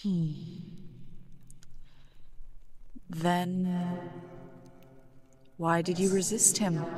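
An aloof goddess of death acted by a woman addresses a mortal in a low, very slow voice. She is imperious and possessive, leaving long, deliberate pauses in a fantasy audio roleplay. A crowd-like murmur sits behind her. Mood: knowing, ominous. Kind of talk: scripted audio roleplay monologue.

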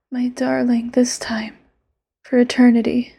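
A woman's voice: on the high side, exaggerated voice. A woman voicing an obsessive, possessive immortal lover in a whispered audio roleplay, sweetly menacing. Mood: doting. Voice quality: soft breathy whisper. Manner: intimate, sweetly menacing, sudden flares.

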